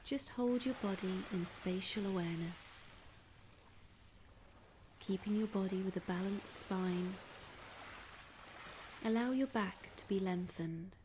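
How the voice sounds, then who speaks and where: A woman narrating a scripted guided imagery meditation in a soft, soothing, breathy voice, gently instructing with long pauses. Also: mid-range voice. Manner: unhurried, with long pauses between phrases. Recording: clear background ambience noise.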